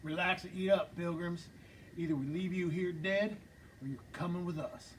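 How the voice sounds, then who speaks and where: A man's voice: high-pitched, steady tone, moderate pace, a little hiss or hum, close to an everyday voice, low-quality recording. Man reading a drawling outlaw boss. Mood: taunting. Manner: drawling, bossy threats. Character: smirking, menacing male outlaw gang leader. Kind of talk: script table reading.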